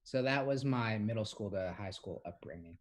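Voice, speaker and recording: A man's voice: fairly low pitch, animated, moderate pace. A young man from Hong Kong, a podcast co-host, telling stories in casual chat with plenty of fillers and restarts. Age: young adult. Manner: rambling storytelling with many fillers and restarts.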